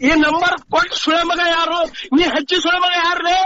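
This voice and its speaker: A man's voice: very high-pitched, little pitch movement, a little fast. A man with a North Karnataka accent in an angry phone argument, aggressive and constantly swearing. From Badami, North Karnataka, India. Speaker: prank call target. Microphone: phone call. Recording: noisy, muffled phone call recording.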